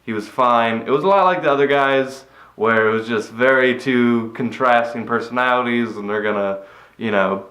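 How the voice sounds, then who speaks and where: A man with a flat, mid-pitched voice rambles through a cynical movie review, dropping curses offhand. He sits in a bare, empty room, so his words ring with a loud echo. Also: normal speed. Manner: rambling, cynical, swears casually. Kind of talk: casual spoken movie review. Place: empty room after a move.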